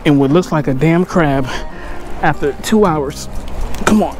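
A man's voice: fairly high pitch, unhurried, darkish tone, faint music behind. A middle-aged man chatting casually and in slang to his viewers as a fishing vlogger, recorded outdoors on a pier. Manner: laid-back, slangy. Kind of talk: casual vlog chat to viewers.

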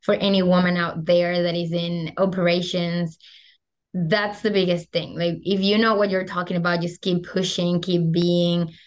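A woman's voice: on the low side, moderate pace. A young woman from Venezuela with a Venezuelan Spanish accent, chatting animatedly as a podcast guest over a video call, full of "like" fillers. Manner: animated, gestures a lot, many "like" fillers. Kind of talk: casual interview chat. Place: small room at home. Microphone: video call webcam.

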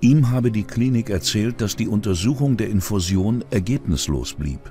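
Low-pitched, animated male documentary narrator. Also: moderate pace, soft background music. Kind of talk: scripted true-crime narration.

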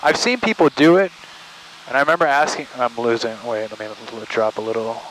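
A man's voice: medium pitch, animated, brisk, fairly bright tone, a little hiss or hum, poor audio. A man training as a pilot, chatting casually over an aviation headset inside a small plane. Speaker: student pilot. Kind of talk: casual cockpit chat. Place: inside small aircraft in flight. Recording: cockpit intercom with engine drone.

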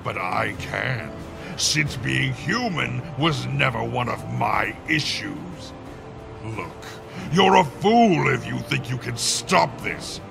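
An actor playing a menacing, arrogant monstrous villain in a video game, gravelly and condescending. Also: male voice, medium pitch, lively intonation, slow pace, music in the background, heavily acted. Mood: grandiose, scornful.

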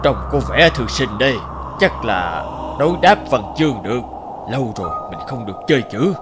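An actor playing a smug, eccentric middle-aged landlord who bullies with theatrical mock courtesy in a cartoon fairy tale. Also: male voice, mid-range voice, very animated, moderate pace, noisy background, close to an everyday voice. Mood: sly, commanding.

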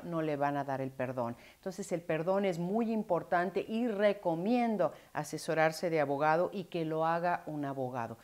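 A middle-aged woman with a somewhat low voice talks straight to the camera as an immigration lawyer. She explains each step plainly and earnestly and gestures as she goes. The sound comes from a close lapel mic in a living room. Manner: clear and earnest, gesturing with both hands.

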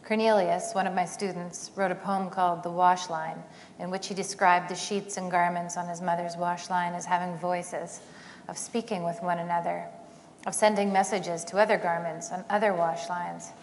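Middle-aged woman reading her book out slow and quiet, lowish voice, big echoey hall. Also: flat delivery. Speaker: author reading. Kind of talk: reading aloud from a novel. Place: theater stage, seated in armchair. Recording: long hall reverb, faint noise.

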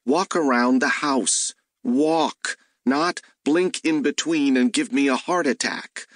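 A male narrator plays an exasperated detective in an urban fantasy audiobook. His mid-pitched voice is sarcastic and indignant, recorded dry and close. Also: slow pace, fairly bright tone, no echo.

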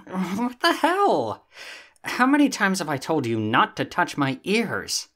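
A man's voice: high-pitched, very animated, slow pace, a bit bright, exaggerated voice. A man playing a sassy, vain young catboy roommate in an ASMR roleplay, talking in a casual, theatrically huffy way. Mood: huffy, smug.

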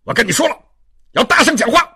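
A man's voice: very high voice, expressive, very slow, slightly rough, pitched above the performer's own voice, heavily acted. A man voicing a brutal, mocking police inspector in a dramatic audiobook, barking with contempt. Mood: domineering. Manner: barking, contemptuous, forceful delivery.

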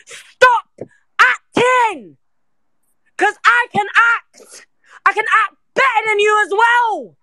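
A woman's voice: extremely high pitch, takes it slowly, bright, forceful tone, no room sound, poor audio. An angry, worked-up woman with a London accent, arguing confrontationally and repetitively in a heated live group voice chat. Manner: confrontational, aggressive, repetitive, swearing.

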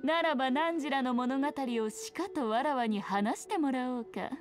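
A woman's voice: high-pitched, moderate pace, close to an everyday voice. A woman voicing a teasing, haughty shrine maiden fox spirit in a Japanese anime game, with playful, archaic, languid speech. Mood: amused, teasing. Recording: music behind the voice. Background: game music.

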